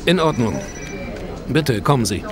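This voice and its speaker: An actor playing a formal, probing, sceptical police detective in an audio drama. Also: male voice, mid-range voice, sing-song, a little slow, over music. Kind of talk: German audio drama acting. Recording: noisy ambience behind.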